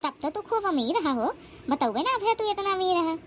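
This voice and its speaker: Female actor as young village bride, Awadhi accent, extremely high pitch, bold, coaxing, cartoon comedy, faint background noise. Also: big pitch swings, normal speed. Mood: coaxing, eager. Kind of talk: rustic comedy cartoon acting.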